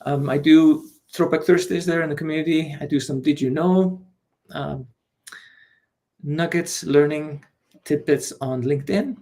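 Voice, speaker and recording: A man in his forties, born in Iceland, talking as a guest in a relaxed, hesitant way with many fillers over a video call. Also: fairly high pitch, measured pace. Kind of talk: casual interview.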